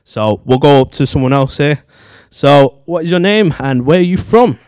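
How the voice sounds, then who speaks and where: A man's voice: on the high side, animated, normal speed, a little mellow, clear, resonant tone, no room sound, studio quality. A man in his twenties with a Manchester accent, chattily hosting and interviewing on a handheld microphone. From Manchester, England. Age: 20s. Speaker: studio show host. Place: dark studio theatre with tiered seats.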